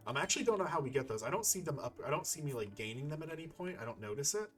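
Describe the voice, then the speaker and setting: A man's voice: fairly high pitch, lively intonation, racing, fairly bright tone, soft background music. A man giving casual gameplay commentary as a playthrough host, rambling and thinking aloud as he goes. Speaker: video game playthrough host.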